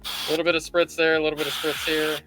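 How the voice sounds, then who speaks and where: A man's voice: a little high, completely flat delivery, quick pace, loud music behind the voice, poor audio. A man hosting a casual live painting tutorial, thinking aloud and rambling as he works. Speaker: livestream host teaching miniature painting.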